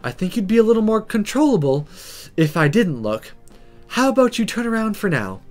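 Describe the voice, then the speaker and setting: Man voicing a soft, composed woman magic teacher. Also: high-pitched, very animated, fairly quick, faint music behind, pitched above the performer's own voice. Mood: calm. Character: sultry, calm adult woman magic teacher.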